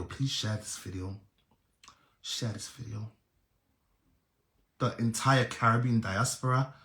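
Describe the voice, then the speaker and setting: A man's voice: lowish voice, reverberant. A man hosting a live stream, pleading urgently and repeating himself.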